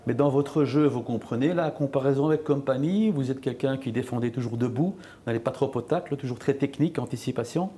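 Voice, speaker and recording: Mellow-voiced male sports interviewer. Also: mid-range voice, a little fast, few pauses, a little hiss or hum. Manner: asks short, direct, probing questions.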